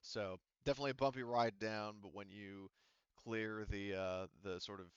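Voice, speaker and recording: A man running a tabletop roleplaying game as game master, casually narrating and thinking aloud in a halting way. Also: lowish voice, fairly even intonation, smooth, no echo, muffled recording.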